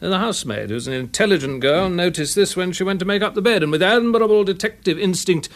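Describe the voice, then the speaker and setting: A man's voice: sits high, lively intonation, fast, fairly bright tone. A man playing an earnest, methodical English police detective in a radio drama, precise and matter-of-fact. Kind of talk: period radio drama acting.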